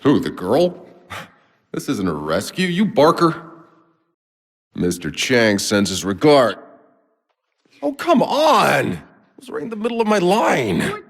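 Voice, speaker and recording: An actor playing a weary, cynical, foul-mouthed sci-fi soldier for a video game, with a gruff, sardonic, laconic delivery and a gravelly, husky voice. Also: male voice, fairly high pitch, wildly swooping pitch, takes it slowly, long pauses, long reverb tail, heavily acted. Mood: angry, urgent.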